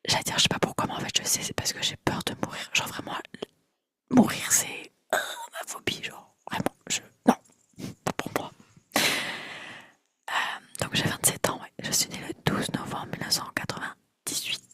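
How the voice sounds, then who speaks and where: A woman in her twenties whispering close to the mic in a chatty ASMR video, rambling and repeating trigger words softly. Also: normal speed. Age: 20s. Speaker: ASMR creator.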